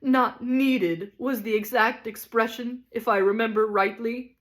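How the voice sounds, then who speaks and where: A woman's voice: fairly high pitch, a little slow, voice pushed up, close to an everyday voice. A woman voicing a pompous, self-pitying male ghost who acts like a stage tragedian, declaiming bitterly. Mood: indignant.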